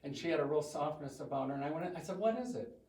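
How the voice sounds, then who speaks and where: A man's voice: on the high side, fast talker, far from the mic. Big-voiced older man in an echoey hall. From US Midwest. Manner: chatty and self-joking, with animated quoting. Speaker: guest speaker. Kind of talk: storytelling talk to a congregation. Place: church hall.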